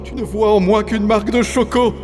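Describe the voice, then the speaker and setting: A man's voice: high-pitched, fairly even intonation, moderate pace, over music, lightly acted. An actor playing a wounded, sentimental husband and doting father, plaintive and theatrical, in a comedy audio drama. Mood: hurt.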